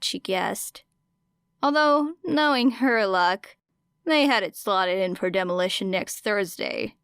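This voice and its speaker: A woman reading fiction aloud as an audiobook narrator, in an expressive storytelling style. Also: mid-range voice, dry recording, clean studio sound. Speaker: audiobook reader of fan fiction.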